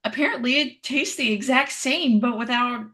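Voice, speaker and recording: An actress playing a chatty, well-meaning young wife in a virtual staged reading of a play. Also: female voice, a little high, moderate pace, bright, forceful tone. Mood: bright, eager.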